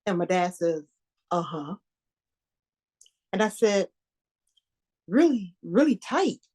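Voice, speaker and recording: A woman's voice: lowish voice, very animated, slow pace, darkish tone, slightly rough, heavily acted. An actress performing a stage monologue as an anxious, newly engaged young woman, talking in a rambling, hesitant, confiding way. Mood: troubled.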